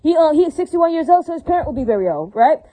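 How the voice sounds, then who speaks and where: A woman with a high, mellow voice delivers a rambling monologue straight to her viewers. She talks quickly, circles back to the same points and leans on fillers, and she keeps asking whether listeners follow her. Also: big pitch swings. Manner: repetitive, filler-heavy, keeps asking listeners if they agree. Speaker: vlogger addressing viewers.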